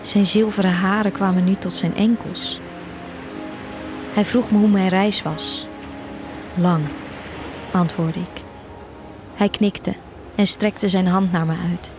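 A woman's voice: mid-range voice, steady tone, takes it slowly, long pauses. A woman reading a literary first-person story as the narrator of an audio drama. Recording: music behind the voice. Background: music.

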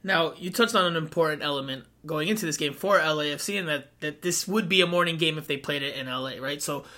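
A man with a fairly high, sharp and bright voice hosts a soccer podcast. He moves briskly between segments and puts direct, upbeat questions to his guest. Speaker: podcast host. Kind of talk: sports podcast hosting.

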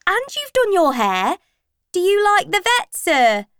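Woman putting on cheeky high-pitched teenage pupils. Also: big pitch swings, a little slow, fairly bright tone, no echo, clean studio sound, exaggerated voice. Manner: insolent, pert. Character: cheeky teenage schoolchildren heckling in class.

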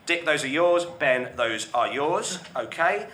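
A man hosting a comedy game show, brisk and teasing as he explains the rules, often laughing. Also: on the high side, lively intonation, pushed and bright, roomy sound, a little hiss or hum. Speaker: quiz host.

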